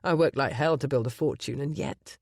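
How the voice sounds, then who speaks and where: A woman reading an audiobook, voicing a grown man, a husband whose low husky voice sounds tender and wry. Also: brisk, a little mellow, dry recording, clean studio sound. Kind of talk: audiobook romance character voice.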